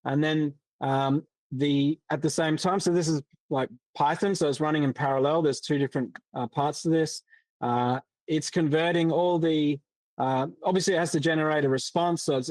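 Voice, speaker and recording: A man presenting a software demo in a casual way, with many fillers and restarts. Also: on the high side, dry recording, poor audio. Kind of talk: explaining a tech demo.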